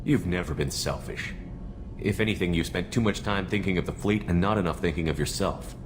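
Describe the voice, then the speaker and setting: An actor playing a steady, plain-spoken military commander in a sci-fi game. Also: male voice, low voice, brisk, noisy background, close to an everyday voice. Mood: steady, reassuring. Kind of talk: cinematic sci-fi game acting. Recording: loud music behind.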